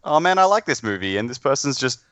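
A man's voice: mid-range voice, big pitch swings, fast, fairly bright tone, no room sound. An Australian man chatting casually as a podcast guest, blunt and self-deprecating, with plenty of swearing. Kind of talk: casual chat.

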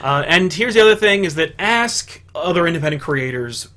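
A man's voice: a little high, expressive, fairly quick, pushed and bright. A man hosting a comics talk show in a loose, chatty way with lots of fillers. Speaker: show host. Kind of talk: casual chat.

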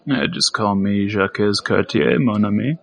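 A man's voice: lowish voice, moderate pace, creaky, a bit bright. A man playing a playful, wisecracking bounty hunter who talks in a jaunty, joking way in an audio drama.

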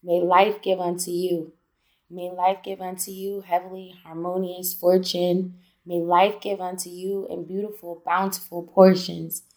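Lowish-voiced woman reciting incantatory poetry. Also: little pitch movement, a little mellow. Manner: incantatory, deliberate short phrases. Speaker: spoken word poet. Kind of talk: spoken word poetry, blessing recital.

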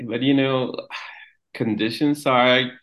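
A young man from Mexico talking casually as a podcast guest, halting and repeating words, trailing off quietly. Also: mid-range voice, fairly even intonation, poor audio. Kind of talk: casual conversation.

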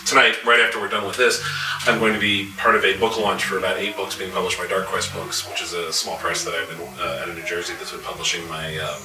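A man speaking casually as a convention panelist, in a halting, informal way. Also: low-pitched, little pitch movement. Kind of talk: casual panel talk with jokes. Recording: echoey room, distant mic.

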